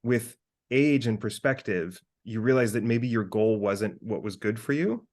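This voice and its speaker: A man in his thirties talking casually as a podcast co-host, animated and sweary as he thinks out loud. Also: fairly low pitch, measured pace. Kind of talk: casual conversational monologue with hypothetical examples.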